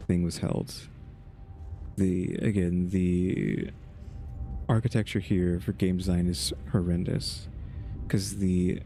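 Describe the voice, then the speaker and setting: A man's voice: low-pitched, mellow. A man giving casual video game commentary, muttering and thinking aloud as he plays. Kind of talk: casual gameplay commentary, reading quest text.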